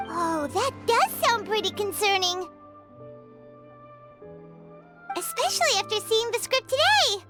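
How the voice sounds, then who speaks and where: Little chatty fairy sidekick, squeaky childlike voice, lively intonation, video game, prominent music behind. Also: female voice. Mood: worried, curious. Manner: cutesy, refers to self in third person. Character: small childlike floating fairy companion, cheerful, chatty.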